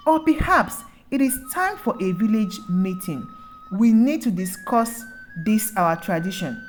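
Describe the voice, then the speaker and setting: A woman voicing a reasonable, concerned village elder in a folktale, with a measured, considered manner. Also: medium pitch. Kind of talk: folktale storytelling.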